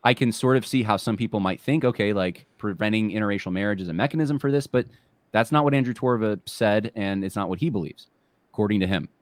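A man giving measured, steady spoken commentary in a played clip. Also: fairly low pitch, no echo.

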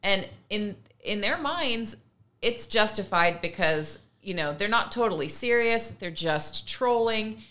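A woman's voice: mid-range voice, lively intonation, measured pace, pushed and bright. Woman in casual conversational commentary. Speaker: podcast co-host.

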